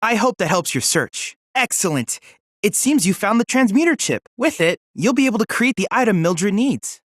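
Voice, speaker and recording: Eager, lively android boy. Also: high-pitched, moderate pace, dry recording, studio quality, exaggerated voice. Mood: composed, helpful. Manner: formal, precise, earnest. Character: polite, eager, bookish android boy research assistant. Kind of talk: scripted video game dialogue.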